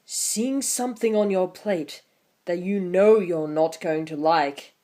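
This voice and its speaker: A woman's voice: on the low side, takes it slowly, warm tone. A woman in her twenties hosting an online video, talking casually and reading a picture book aloud with theatrical emphasis. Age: 20s.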